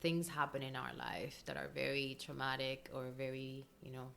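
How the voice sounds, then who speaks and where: Low-voiced Colombian woman, open and emotional. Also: level tone, moderate pace, vocal fry, fairly bright tone, a little hiss or hum. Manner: open and emotional, frequent ums and laughs. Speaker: podcast guest, breathwork coach. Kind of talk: casual interview chat.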